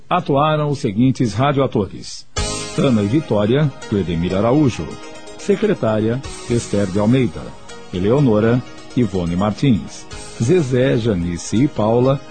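A man's voice: medium pitch, warm tone. A Brazilian man announcing scripted radio credits in a formal, carefully enunciated manner. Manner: formal, enunciated list reading.

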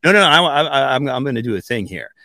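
A man co-hosting a political talk podcast, making wry, sarcastic points in quick asides. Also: mid-range voice, sing-song, pushed and bright, no echo. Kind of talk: casual political chat.

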